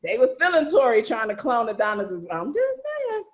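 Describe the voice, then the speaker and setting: Higher-pitched woman hosting in a roomy space. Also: moderate pace, a little hiss or hum. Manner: animated, slangy, conversational. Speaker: host, narrator and writer of an audio series. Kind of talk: casual group chat, hosting questions. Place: indoors on a group video call. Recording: roomy echo on a video call.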